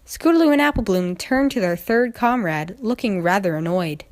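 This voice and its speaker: A woman reading a story aloud as narrator, in an expressive storybook style. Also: medium pitch, moderate pace. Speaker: fan fiction narrator.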